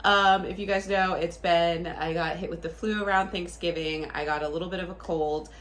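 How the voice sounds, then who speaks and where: A woman's voice: on the low side, steady tone, moderate pace, a bit bright. A woman in her late thirties hosting a book channel, talking casually to camera, a bit nasal and stuffed up from a cold, with lots of ums and restarts. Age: 30s. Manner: chatty, frequent ums, rambling restarts.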